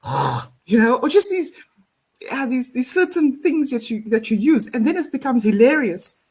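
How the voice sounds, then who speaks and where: A woman's voice: sits high, very animated, moderate pace, a little mellow, breathy edge. A woman with a Cape Town South African accent, chatting animatedly on a video call and laughing often. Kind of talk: casual conversation.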